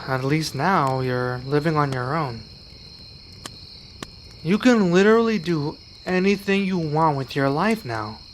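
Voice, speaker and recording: A man acting a young, gentle, protective werewolf in an ASMR roleplay, speaking softly and earnestly with halting pauses. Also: on the high side, a little hiss or hum. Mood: sympathetic, grateful.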